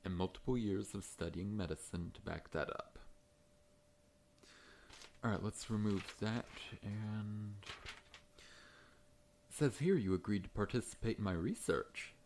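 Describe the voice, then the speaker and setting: A man playing a large, friendly scorpion monster dentist in an ASMR roleplay, talking in a gentle, reassuring, professional way. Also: fairly low pitch, slow pace, long pauses, slight background noise.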